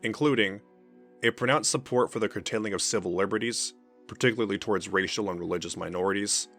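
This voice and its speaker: A man reading a scripted report as narrator, in a formal and measured manner. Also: lowish voice, fairly bright tone, faint music behind.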